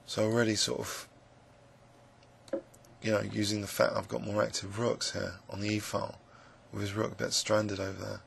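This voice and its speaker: A man with a croaky, gravelly voice, musing hesitantly with many fillers as he commentates his own blitz chess game. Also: fairly low pitch, flat delivery, moderate pace, fairly bright tone, slight background noise. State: croaky voice, clearing throat. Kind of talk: live game commentary, thinking aloud.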